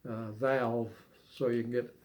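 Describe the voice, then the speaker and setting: An older man chatting casually as an interviewee, recalling things in a halting, hesitant way with long pauses. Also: mid-range voice, level tone, darkish tone. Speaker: amateur harmonica player being interviewed. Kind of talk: casual reminiscing chat.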